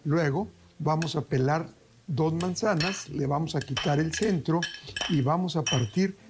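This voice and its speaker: A man's voice: on the high side, unhurried, noisy background. A middle-aged man, hosting a TV cooking show in a folksy way and talking to viewers as family. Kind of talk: TV cooking demonstration. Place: TV kitchen studio set.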